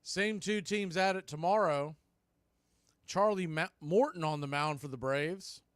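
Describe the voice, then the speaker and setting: A man calling live baseball on the radio, mixing clipped pitch calls with conversational, partisan asides. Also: measured pace, clear, resonant tone, no echo, studio quality. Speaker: baseball radio play-by-play announcer. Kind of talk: live sports commentary.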